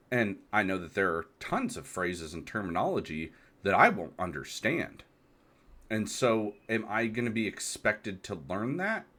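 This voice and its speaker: A man's voice: low-pitched, a bit bright. An American man in his thirties hosting a solo talk, reflective and hesitant, asking rhetorical questions. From United States. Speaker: podcast host. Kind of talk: solo monologue.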